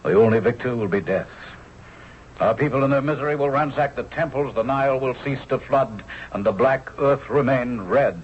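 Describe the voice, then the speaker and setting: An actor playing a dignified, idealistic captain of the royal guard, oratorical and respectful, in a 1970s radio drama. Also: male voice, medium pitch, moderate pace, natural voice. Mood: solemn, fervent. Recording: dull, noisy old radio broadcast recording.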